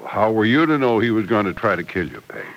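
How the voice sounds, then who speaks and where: A kindly, fatherly confidant in an old radio drama, with a lowish, gravelly voice. He talks in a thoughtful, reassuring way on a noisy vintage broadcast. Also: very animated, fast, dull audio. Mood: soothing. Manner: soothing, thoughtful. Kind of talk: 1940s radio soap opera acting.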